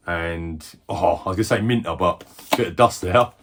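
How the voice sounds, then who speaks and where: A middle-aged man casually showing off his game collection, rambling and correcting himself as he goes, a little hoarse. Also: deep voice, normal speed, fairly bright tone, slight background noise. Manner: rambling, chatty, self-correcting. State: losing his voice. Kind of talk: casual collection show-and-tell.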